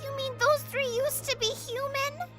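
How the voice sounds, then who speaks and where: A woman's voice: very high-pitched, fairly even intonation, a little slow, fairly bright tone, faint music behind. A woman in an amateur fandub voicing an earnest, bewildered youngster with a hesitant, questioning manner. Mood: troubled questioning. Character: earnest, bewildered youngster confronting a dark foe.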